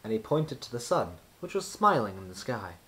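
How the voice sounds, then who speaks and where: Male narrator for a children's storybook: mid-pitched, highly animated, deliberate, expressive read. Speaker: children's book reader.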